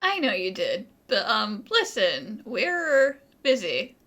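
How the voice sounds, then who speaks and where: Woman, casual game commentary, bright forceful voice, unhurried, wry, offhand. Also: medium pitch, very animated. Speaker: gamer commenting on a playthrough.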